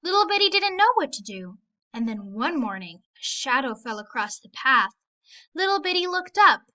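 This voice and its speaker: A woman narrating a children's story aloud in an expressive read-aloud style. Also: high-pitched, moderate pace. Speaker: storybook reader.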